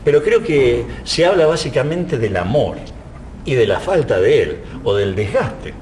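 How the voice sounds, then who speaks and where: A man's voice: very animated, unhurried, a little mellow. A man explaining things reflectively in an interview, searching for words and adding little tag questions. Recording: low rumbling background noise.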